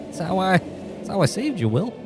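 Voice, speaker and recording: A man voicing an eager, excitable guardian angel in a comedic parody radio play, chatty and cheerfully crude. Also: high-pitched, normal speed, noisy background. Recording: music behind the voice.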